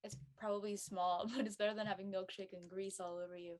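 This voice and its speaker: A friendly teenage girl, acted by a woman in an audio drama. She is soft-spoken and easygoing, with a mid-pitched voice. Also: little pitch movement, fast talker, close to an everyday voice. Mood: kind, easygoing. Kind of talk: audio drama acting, casual dialogue.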